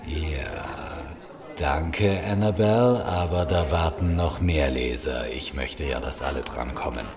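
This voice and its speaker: An actor playing a horror author in an audio drama, speaking in a measured, solemn, formal way. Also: male voice, extremely low pitch, crowd noise, full character voice. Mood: curt, impatient. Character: horror author, solemn, polite but curt with fans. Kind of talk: dramatic literary reading and radio play dialogue.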